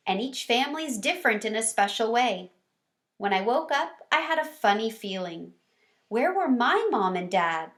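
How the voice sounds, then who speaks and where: A small, sentimental classroom hamster tells his own storybook tale , read by a woman. The voice is fairly high and takes its time. It is full of earnest wonder and expressive feeling. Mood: musing.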